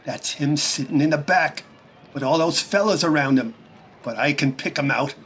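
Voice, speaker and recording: An actor playing an obsessed young ex-soldier sniper in 1930s radio drama style, slangy, agitated and childishly insistent. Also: male voice, a little high, moderate pace, fairly bright tone, slight background noise, natural voice. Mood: brooding, intent.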